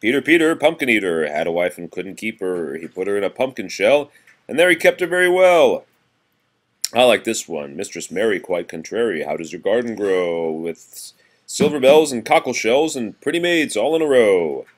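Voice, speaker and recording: A man's voice: lowish voice, sing-song, moderate pace. A man reading nursery rhymes aloud in a sing-song way, chatting casually to a child between them as a parent would.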